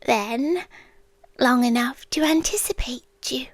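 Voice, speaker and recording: A British woman voicing an ancient, lovestruck, obsessive end-of-the-world entity in a hushed, intimate ASMR roleplay, with deliberate pauses, close to the microphone. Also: high voice, dry recording. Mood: intimate, yearning. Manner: slow, hushed, deliberate delivery with long pauses. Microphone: close, dry studio microphone.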